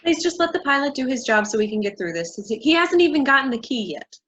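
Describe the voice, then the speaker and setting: A woman in a staged play reading playing a weary, reluctant scheme participant with a husky voice. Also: high-pitched, very animated, fast talker, a bit bright, slight background noise. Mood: exasperated.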